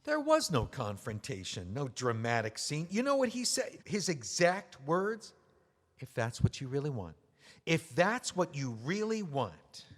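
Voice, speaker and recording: An actor playing a betrayed widower in an audio drama, mocking and seething with anger. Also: male voice, on the high side, huge pitch swings, unhurried, exaggerated voice. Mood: scornful. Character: bitter betrayed widower, man. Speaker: widower writing a memoir. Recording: long hall reverb.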